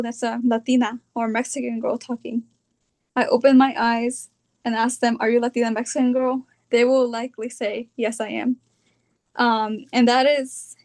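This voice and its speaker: A woman's voice: a little high, darkish tone. Young Californian woman thinking aloud. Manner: hesitant, thinking aloud with fillers. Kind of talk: reflective personal talk.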